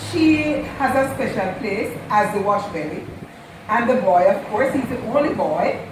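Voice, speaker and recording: A woman's voice: medium pitch, animated. Echoey far-off mother reminiscing. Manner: fond, unhurried, repeats for emphasis. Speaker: mother of the channel host, guest. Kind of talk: casual storytelling. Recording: echoey room, distant mic, noisy.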